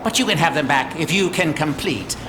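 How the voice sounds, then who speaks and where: Man doing a mischievous game imp, cartoonish, teasing, wildly swooping pitch, echoey, very noisy background. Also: expressive, a little fast, close to an everyday voice. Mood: gleeful, teasing. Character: mischievous male imp from another dimension, taunting, gleeful. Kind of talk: video game voice acting. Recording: echoey, very loud ambient noise.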